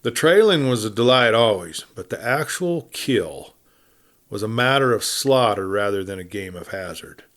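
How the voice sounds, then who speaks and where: A man reading a western tale as a dramatic storyteller, deliberate and dropping to a hush at phrase ends. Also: on the low side. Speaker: storyteller, audiobook reader.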